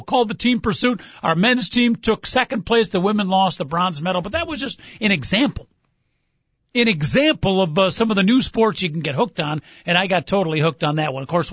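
A chatty male sports radio host with a high, light voice, rambling and teasing his on-air partner. The sound is dry and close, with no room around it. The audio is dull and muffled, like an older broadcast recording. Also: a bit bright, no echo. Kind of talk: casual sports radio chat.

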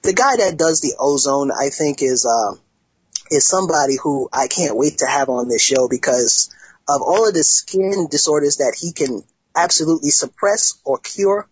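A man hosting a casual internet talk show, speaking haltingly with many restarts and fillers. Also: fairly high pitch, breathy edge, dry recording. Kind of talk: casual podcast chat. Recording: dull lo-fi sound, dry.

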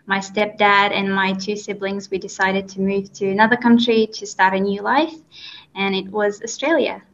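A woman's voice: mid-range voice, steady tone, a bit bright, highs cut off. A woman in her twenties from Kazakhstan, a guest telling a personal story earnestly, with hesitations and fillers. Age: 20s. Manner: earnest, halting, with frequent fillers.

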